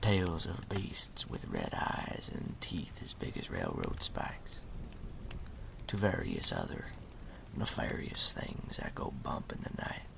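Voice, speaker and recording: A man playing a folksy, hospitable wandering story collector in an ASMR roleplay, drawling in a breathy half-whisper. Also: a bass voice, huge pitch swings, slow, background noise, exaggerated voice. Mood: eerie, hushed.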